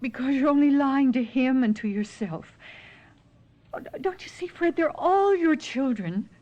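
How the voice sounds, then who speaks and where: An actress playing a devoted, wistful, possessive wife in a 1970s radio drama, speaking in hesitant, emotional phrases. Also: female voice, high voice, lively intonation, measured pace, a little mellow. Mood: yearning. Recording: muffled old radio broadcast.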